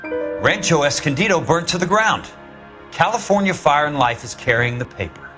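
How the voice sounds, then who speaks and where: A man's voice: on the high side, expressive, moderate pace, music in the background, natural voice. An actor playing a relentless male investigator in a video game, terse, commanding and confrontational. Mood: pressing. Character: hard, blunt male investigator, relentless and accusing. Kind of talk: dramatic video game acting.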